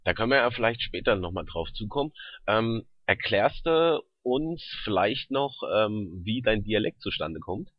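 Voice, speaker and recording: A man from southern Germany hosting a podcast, asking his guest questions in a relaxed way. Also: lowish voice, moderate pace, dry recording. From southern Germany near Salzburg. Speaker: podcast host. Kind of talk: casual podcast interview. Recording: dry, slightly dull sound.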